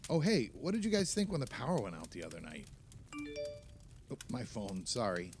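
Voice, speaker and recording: A man's voice: mid-range voice, wildly swooping pitch, normal speed, music in the background. An actor playing a casual, mildly grumbling male friend in conversational radio play dialogue.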